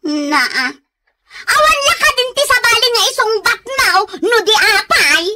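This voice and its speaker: A woman's voice: very high-pitched, sing-song, unhurried, sharp tone, a little hiss or hum, heavily acted. A woman with an Ilocano accent playing an idle, easily offended, gossip-loving neighbor in a radio comedy, whiny and pestering. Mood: whining, indignant.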